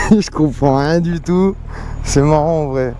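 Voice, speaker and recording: A man's voice: a little high, takes it slowly. A young man vlogging casually from his motorbike, joking and thinking aloud as he rides. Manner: chatty, joking, thinking aloud while riding. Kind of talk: casual vlog commentary. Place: on a motorbike in city traffic. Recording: road noise behind. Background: traffic and engine noise.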